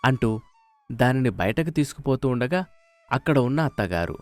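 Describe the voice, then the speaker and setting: A man's voice: mid-range voice, animated, moderate pace, darkish tone. A man narrating a scripted moral story as a storyteller, with music behind him. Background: faint music.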